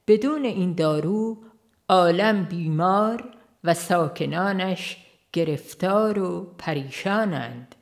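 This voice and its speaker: A woman reads a religious book as a formal audiobook narrator, in a solemn, measured way. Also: on the low side. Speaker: audiobook reader.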